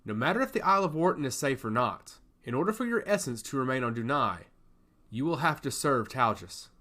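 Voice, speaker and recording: A man's voice: medium pitch, sing-song, fairly quick, pushed and bright. A man voicing a stern, sly god of intellect in a fantasy story, lecturing solemnly and emphatically. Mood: stern, warning. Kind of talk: fantasy audiobook character acting.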